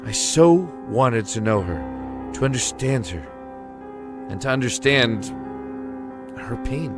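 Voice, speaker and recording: An actor playing an earnest, anguished young man locked in a dark cell, speaking haltingly and emotionally in an audio drama. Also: medium pitch, reverberant. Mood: wistful narrating. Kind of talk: audio drama acting and first-person narration. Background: music.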